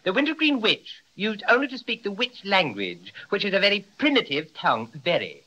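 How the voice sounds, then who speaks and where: Pompous hooting owl professor. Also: male voice, very animated, brisk, bright, forceful tone, muffled recording, heavily acted. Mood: lofty. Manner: pedantic, lofty, with deep hooting calls. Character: pompous educated male owl professor, fussy grammar stickler. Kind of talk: 1930s radio drama acting.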